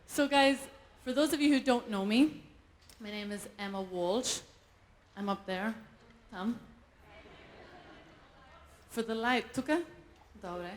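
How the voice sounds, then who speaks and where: A woman from Ireland with an Irish accent, performing an animated, self-mocking stand-up set on a live stage and laughing often. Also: a little high, takes it slowly, long pauses. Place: live event stage before an audience.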